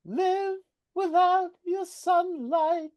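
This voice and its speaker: A woman's voice: very high-pitched, a little mellow, exaggerated voice. A woman singing as a brooding goblin king. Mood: yearning. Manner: slow, intense sung lines. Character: the Goblin King, a proud tempting male ruler. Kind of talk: singing in a live read-through. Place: home, over a video call. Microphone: computer mic on a video call.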